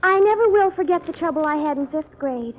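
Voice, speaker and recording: A woman's voice: sits high, lively intonation, a little fast. An actress playing a sweet, dim-witted young woman in a gushing, babyish manner, in old-time radio comedy style. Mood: girlish. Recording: tinny, noisy old radio recording.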